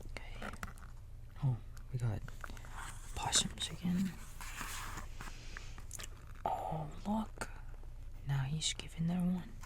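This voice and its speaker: A man in a soft breathy whisper, gently and soothingly reading a bedtime story like a big-brother babysitter, with casual asides. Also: fairly high pitch, expressive, slow pace, long pauses, heavy background noise.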